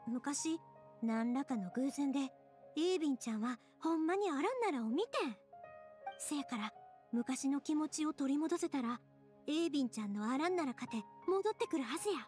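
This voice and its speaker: A kind, cheerful young woman from an anime game, with a high-pitched voice. She speaks in a folksy Kansai accent, earnest and expressive, over faint background music. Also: normal speed. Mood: reassuring, thoughtful. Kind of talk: anime game dialogue acting.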